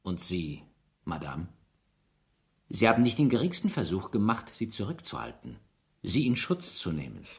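An actor playing a persistent police inspector in a German radio drama, probing and insistent. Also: male voice, deep voice, huge pitch swings, normal speed, gravelly, pauses often, a little mellow, exaggerated voice. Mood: insistent, pressing. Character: persistent male French police inspector questioning witnesses.

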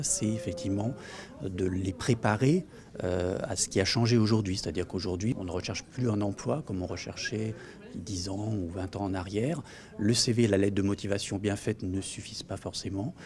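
A man in his thirties, an employment agency official, speaks in a measured way with frequent hesitations in an interview inside an office meeting room. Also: on the low side, a little mellow. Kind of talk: interview for a news report.

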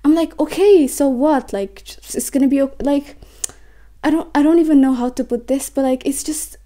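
Rambling young woman, mellow voice. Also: high voice, moderate pace. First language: Somali. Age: 20s. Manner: rambling, self-interrupting, stumbles on words. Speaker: vlogger. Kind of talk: reflective talk to camera. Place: small bedroom, indoors. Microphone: camera on a desk, facing her.

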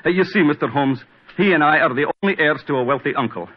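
A man's voice: on the high side, fast, close to an everyday voice. An actor playing a frightened middle-aged man with a weak heart, pleading anxiously, in 1940s radio acting on a dull old broadcast.

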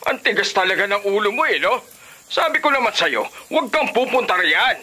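A man's voice: sits high, very animated, fairly quick, pushed and bright, full character voice. An actor playing a stern, scolding middle-aged father in a Filipino radio drama. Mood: angry.

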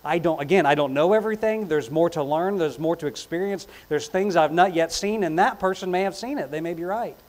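A man's voice: a little high, fairly quick, hardly any pauses. A middle-aged man preaching a sermon as a pastor, emphatic and repeating key phrases, with conversational asides. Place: indoors on a church platform. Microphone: lapel mic.

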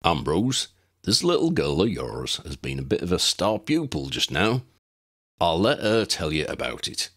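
A man voicing a gruff, friendly big male gamekeeper in an audiobook. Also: sits low, big pitch swings, moderate pace, fairly bright tone, exaggerated voice. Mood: hearty. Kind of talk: audiobook character voice.

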